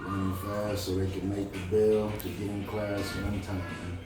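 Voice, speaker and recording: A man reading a storybook aloud in an encouraging, conversational manner, pausing to check that the listener follows. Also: low voice, flat delivery. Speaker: storybook reader. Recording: very echoey, distant mic, music behind.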